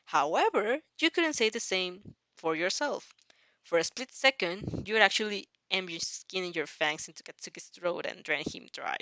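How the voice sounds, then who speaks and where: A woman reading a dramatic fan fiction story aloud as narrator, halting now and then over the text. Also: fairly low pitch, expressive, bright, forceful tone, no echo. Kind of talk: dramatic audio story narration in second person.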